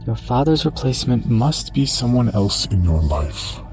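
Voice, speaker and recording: A man playing a sly, polite monster who offers deadly bargains in a coaxing, smooth-talking horror audio drama delivery. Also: mid-range voice, very animated, normal speed, darkish tone, close to an everyday voice. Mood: slyly amused. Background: music.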